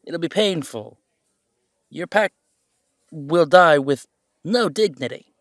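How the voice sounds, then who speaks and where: A man's voice: on the high side, animated, slow, no echo, clean studio sound. A man playing a young adult werewolf son in an audio roleplay drama, sardonic and rebellious, with a wry, skeptical delivery. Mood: bitter, accusing.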